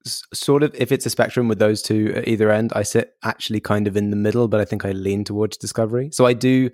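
A man hosting a casual podcast interview, chatty and quick to laugh. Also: on the low side, steady tone, darkish tone, no echo, studio quality. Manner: chatty, laughs easily, trails into restarts. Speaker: podcast host.

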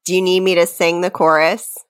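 A woman's voice: fairly low pitch, level tone, brisk. A woman co-hosting a comedy podcast, mixing playful dramatic readings with casual banter and often breaking into giggles. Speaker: podcast co-host.

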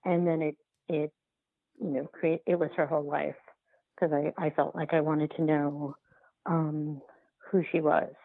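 A woman answering interview questions as a filmmaker, in a halting, conversational way with repeated words. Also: low voice, level tone, husky, phone-quality sound. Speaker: documentary filmmaker, podcast guest.

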